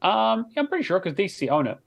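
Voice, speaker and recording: A man's voice: sits high, sing-song, quick pace, gravelly. A man originally from Sweden chatting casually and with plenty of swearing as a podcast co-host. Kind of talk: casual chat about video games.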